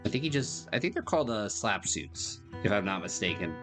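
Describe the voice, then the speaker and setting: Male game livestreamer, fairly low pitch, casual chat, clear background music. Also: sing-song, brisk. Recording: music behind the voice.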